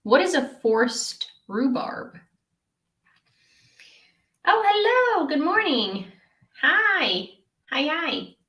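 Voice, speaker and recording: A woman's voice: high voice, sing-song, drawn-out words, long pauses, bright, forceful tone, reverberant. A young woman from Ukraine chatting casually on a live stream and reading viewers' messages, giggling often and trailing off into fillers and restarts.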